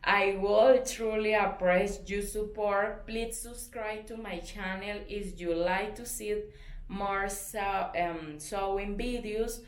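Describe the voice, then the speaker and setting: Colombian woman, Spanish native, sewing tutor; unhurried, hesitant English, roomy sound. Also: medium pitch, steady tone. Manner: careful, hesitant non-native English with fillers and apologies.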